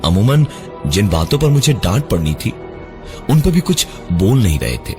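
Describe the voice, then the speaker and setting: A man narrating a horror story in the first person, dramatically, with suspenseful, deliberate pauses. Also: on the low side, sing-song. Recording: music behind the voice, 3D voice effects. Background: prominent music.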